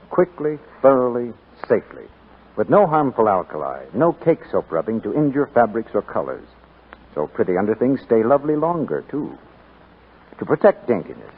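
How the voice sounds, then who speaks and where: A man's voice: lowish voice, husky. A male announcer reading a scripted advertisement in polished 1940s radio style. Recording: muffled, fuzzy 1940s radio recording.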